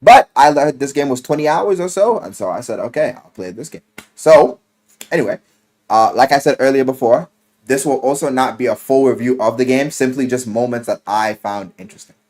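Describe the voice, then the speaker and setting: A man telling a story casually in slang and swearing, as an animated video game reviewer who acts out what people say. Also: medium pitch, fairly quick. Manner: animated storyteller, acting out quoted lines.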